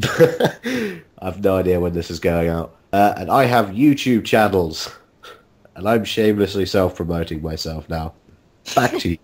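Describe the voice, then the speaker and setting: Male interview guest, very deep and husky, joking and self-mocking, informal. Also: big pitch swings, a little slow. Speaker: voice actor being interviewed. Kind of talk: casual chat.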